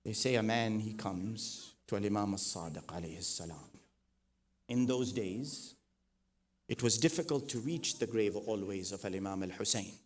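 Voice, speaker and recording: Man preaching, soft then swelling loud. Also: fairly low pitch, lively intonation, moderate pace. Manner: swells from soft to loud, rising emphatic repetition. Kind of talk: mourning sermon with narrated tradition.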